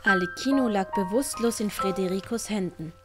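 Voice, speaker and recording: A woman's voice: mid-range voice, normal speed, music in the background. A woman with a Swiss German accent reading scripted story narration for an audiobook.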